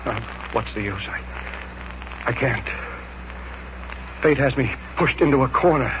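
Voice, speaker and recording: A male actor plays a bitter, frightened artist who feels cornered by fate. His mid-pitched voice is dramatic and agitated in the manner of 1940s radio. The old broadcast recording is dull and very noisy. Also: unhurried, a bit bright, natural voice. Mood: bitter, resigned. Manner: agitated, fatalistic, dramatic delivery.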